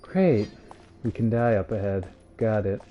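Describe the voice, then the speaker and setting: A man's voice: lowish voice, expressive, unhurried, highs cut off, soft background music. A man giving casual, off-the-cuff live commentary as he streams a game.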